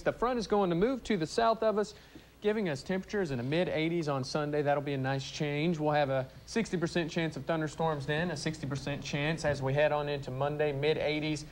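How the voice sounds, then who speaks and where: Higher-voiced male TV weatherman. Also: a little hiss or hum. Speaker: TV meteorologist and co-presenter. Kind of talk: ad-libbed morning weather forecast and banter. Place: TV studio. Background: faint music, director's cues talking over him.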